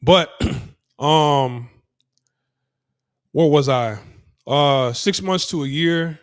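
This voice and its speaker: A man's voice: on the high side, drawn-out words, clear, resonant tone, no echo, clean studio sound. A man talking casually to his viewers while moving through city streets, rambling with many restarts and repeated phrases. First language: English. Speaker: vlogger. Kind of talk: casual walking vlog, talking to camera.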